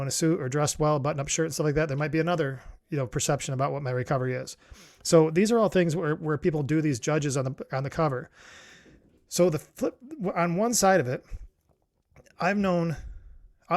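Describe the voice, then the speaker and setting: Man, middle-aged, from New York, slightly high voice, reflective monologue, rambling with restarts. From New York, USA. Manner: rambling with frequent restarts and fillers. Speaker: podcast host. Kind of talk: reflective solo talk.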